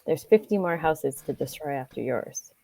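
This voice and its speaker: A woman's voice: sits low, lively intonation, normal speed, darkish tone. A woman reading the part of a spoiled, sneering rich young man at a live table read. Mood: sneering, impatient.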